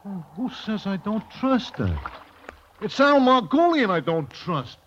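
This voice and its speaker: An actor playing a simple, lovesick wounded sailor in an old radio drama, halting and slangy in a plain-spoken way. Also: male voice, high-pitched, big pitch swings, a bit bright, heavily acted. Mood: wistful, defensive. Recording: dull, faintly noisy old radio recording.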